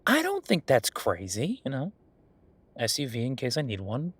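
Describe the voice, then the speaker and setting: Casting: male actor as young nerdy CEO for intimate audio roleplay. Mid-pitched, chatty, self-mocking, very dry close sound. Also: wildly swooping pitch, moderate pace, breathy edge, no echo. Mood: playfully defensive. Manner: rambling, informal, playfully defensive, self-mocking. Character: friendly, self-deprecating young billionaire CEO, nerdy car lover. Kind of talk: casual intimate audio roleplay, one-sided chat with listener.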